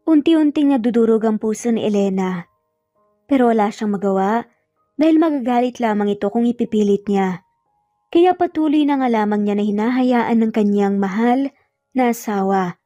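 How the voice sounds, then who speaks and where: A woman's voice: a little high, normal speed, warm tone, dry recording. A woman with a Filipino accent reading a scripted dramatic story as a storyteller. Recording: dull lo-fi audio, music behind. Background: background music.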